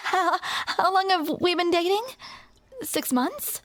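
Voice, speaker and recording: A woman's voice: very high-pitched, fairly bright tone. A young woman performing a scripted romantic comedy audio roleplay, flustered and playful, often breaking into awkward laughs.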